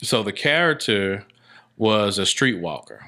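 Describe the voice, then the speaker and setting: A man's voice: lowish voice, big pitch swings, measured pace, sharp tone. A man in casual podcast conversation, telling stories emphatically and often repeating his phrases as an interview guest. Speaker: guest, indie filmmaker and casting director.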